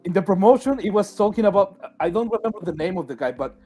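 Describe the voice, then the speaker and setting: A man's voice: high voice, lively intonation, fast talker, warm tone. A man co-hosting a casual boxing talk show, telling a loose, meandering story.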